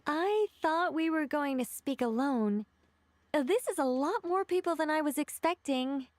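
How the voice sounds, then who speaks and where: A woman voicing a calm, knowing and mysterious game character with a polite, deliberate delivery. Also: high voice, no room sound. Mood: composed. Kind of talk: video game voice acting, measured explanation.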